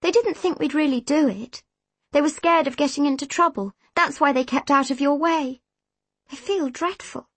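A woman voicing a gentle, apologetic young girl in a storybook, earnest and conciliatory. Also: high-pitched, moderate pace, no echo, pitched above the performer's own voice, close to an everyday voice.

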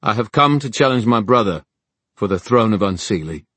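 A man portrays an upright fairy prince in a fantasy audiobook. He is composed and dignified, choosing formal words with measured care, recorded dry. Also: lowish voice, expressive, fairly bright tone, breathy edge, no room sound, voice pushed up. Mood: calm challenge.

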